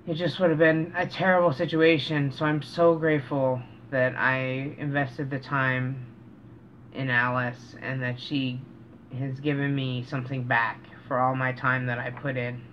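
A middle-aged man speaks slowly and earnestly in a reflective, casual vlog style, often halting mid-sentence. His voice sits at an average pitch. The recording is dull and a bit lo-fi, made in a small room with noticeable background noise. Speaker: vlogger. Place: small cluttered room indoors. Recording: dull, noisy home recording.

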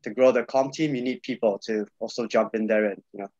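A man's voice: on the low side, fast, darkish tone, dry recording. A young man from Malaysia, chatting loosely as a podcast guest over a video call, full of fillers and repeats. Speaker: podcast guest, jiu-jitsu coach. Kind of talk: casual video-call chat. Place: small room indoors. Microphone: video call webcam. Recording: dull, dry video-call audio.

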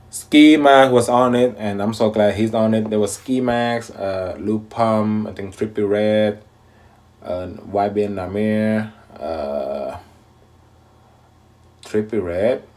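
A man in his thirties hosting a casual reaction video from a small room at home, talking in a relaxed, rambling way with lots of fillers into a close webcam mic. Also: on the low side. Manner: laid-back, rambling, many fillers.

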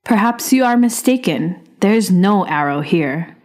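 A woman's voice: medium pitch, animated, a little slow, darkish tone, close to an everyday voice. Woman as firm, evasive young noblewoman. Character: evasive, determined young chief's daughter in a legend.

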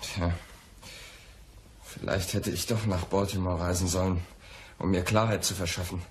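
An actor in a radio play, playing an anxious, pampered young poet who pleads in a hesitant, agitated way and repeats himself. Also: male voice, low-pitched, fairly even intonation, normal speed, a little hiss or hum, natural voice. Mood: uneasy, pleading. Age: young adult.

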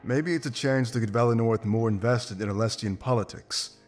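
An actor playing a confident, pragmatic wolf mercenary in a video game, blunt and self-assured. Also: male voice, mid-range voice, normal speed, close to an everyday voice. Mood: explaining. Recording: music behind. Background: game music.

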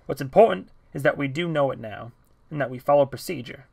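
A man voicing a calm, weary man who insists on following procedure. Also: mid-range voice, fairly quick, gravelly, no echo.

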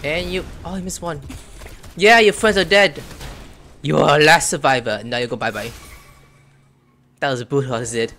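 A man streaming a video game, commenting casually and breaking into loud, high yelps during fights. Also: normal speed, pauses often, a little hiss or hum. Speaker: gameplay streamer. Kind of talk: live gameplay commentary. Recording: game music playing underneath.

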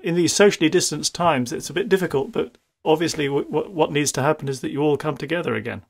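A British man conducting an interview in a courteous, slightly halting manner. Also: fairly high pitch, creaky. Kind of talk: polite telephone interview.

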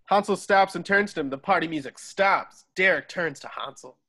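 A man's voice: high-pitched, moderate pace, bright, forceful tone. A man narrating stage directions from a script in an amateur table read over a video call.

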